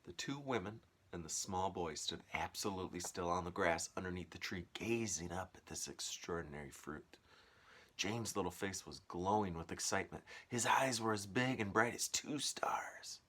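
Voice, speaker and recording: A man's voice: deep voice, normal speed, fairly bright tone. A man reading a storybook aloud like a teacher, expressively and with dramatic, varied emphasis.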